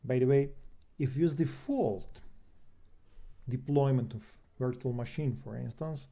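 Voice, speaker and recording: A man with a Serbian accent and a mellow, dark tone leads an online technical session as a trainer. His middle-pitched voice explains step by step in halting non-native English, often posing a question before answering it. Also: creaky. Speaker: cloud engineer and trainer presenting an online session.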